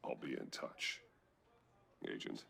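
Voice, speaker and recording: An actor playing a brooding, terse masked vigilante in a video game, with a husky American growl. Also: male voice, slow pace, sharp tone. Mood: grim, curt. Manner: terse, grim, commanding.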